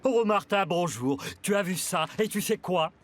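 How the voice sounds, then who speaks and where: An actor playing an excitable, starstruck newspaper seller in a French cartoon. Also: male voice, high-pitched, normal speed. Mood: thrilled.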